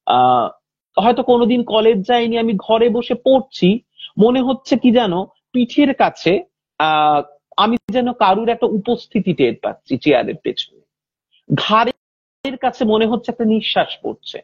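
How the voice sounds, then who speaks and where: A woman retelling real-life ghost stories in a conversational, unhurried way, lingering on details and quoting people as she goes. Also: a little high, very animated, husky, dry recording, lo-fi sound. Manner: unhurried, detailed, acting out quoted speech. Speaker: host of a supernatural story chat.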